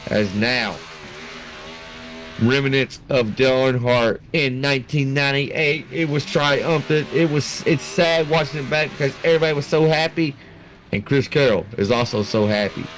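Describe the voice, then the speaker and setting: A man giving excited, folksy live play-by-play commentary on a sim race, in an animated and colloquial way. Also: mid-range voice. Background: racing game vehicle noise.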